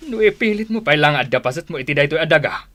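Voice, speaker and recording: An actor with an Ilocano accent playing a greedy, scheming older brother in a radio drama, mocking and laughing. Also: male voice, on the high side, lively intonation, quick pace, noisy background, lightly acted. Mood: scornful. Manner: mocking, frequent sneering laughs.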